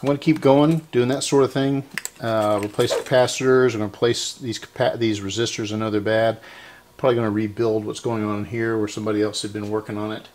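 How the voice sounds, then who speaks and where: A man's voice: on the low side, flat delivery, fairly quick, a little mellow. An older man talking casually and unscripted, thinking aloud as he works through a hands-on repair. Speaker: host repairing vintage radios. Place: indoor electronics workbench. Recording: noisy workshop, clicking sounds.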